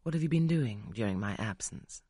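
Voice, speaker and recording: An audiobook reader voicing a brusque, imperious gentleman of the manor who questions bluntly. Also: mid-range voice, animated, moderate pace, husky, no echo, studio quality, pitched below the performer's own voice. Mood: probing. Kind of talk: audiobook character reading.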